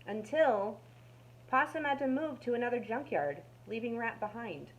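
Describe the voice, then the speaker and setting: A woman's voice: a little high, normal speed, rough recording. A woman narrating a children's storybook aloud as a storytime reader.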